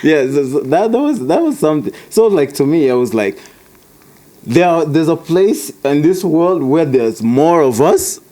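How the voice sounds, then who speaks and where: A man in his thirties, raised in Kenya and the US, speaking with an American accent as a guest in a relaxed, rambling interview chat. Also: fairly high pitch, measured pace. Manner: laid-back, loose and rambling with frequent restarts and laughs. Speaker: rapper guest.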